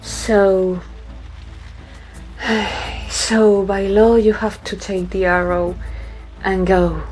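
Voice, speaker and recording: A woman acting in a sci-fi audio drama as a resolute, stubborn pregnant pilot, speaking calmly and firmly. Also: mid-range voice, soft background music, poor audio. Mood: weary, dry. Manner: deliberate, firm.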